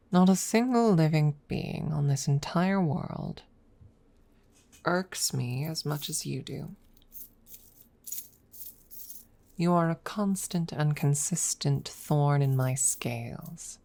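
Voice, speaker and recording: A woman voicing a haughty, centuries-old dragon rival who is secretly smitten, speaking haltingly in a close-miked ASMR roleplay. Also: sits low, creaky, a little mellow, heavily acted. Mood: irritated, smitten. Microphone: close microphone.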